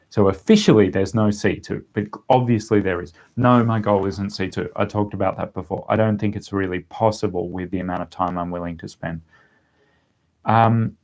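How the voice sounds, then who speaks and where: Low-voiced Australian man chatting. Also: darkish tone. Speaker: language-learning vlogger answering viewer questions. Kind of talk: casual livestream Q&A chat.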